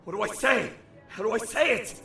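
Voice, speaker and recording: An actor voicing an anxious young shapeshifter from another realm in fretful audio drama monologue, muttering to himself. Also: male voice, sits high, normal speed, sharp tone, roomy sound, faint music behind. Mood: panicked. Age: young adult.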